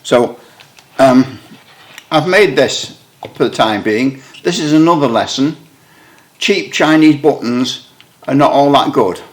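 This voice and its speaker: British bloke rambling on about his radio gear, wry and self-deprecating, middle-pitched voice. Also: slow, long pauses. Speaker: radio hobbyist video maker. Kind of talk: casual show-and-tell explanation.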